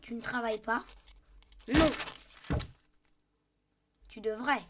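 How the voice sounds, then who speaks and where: A woman playing a calm, sensible little boy with a measured manner in a French cartoon. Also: fairly high pitch, over loud music. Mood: reasoning. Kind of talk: French animated series dubbing.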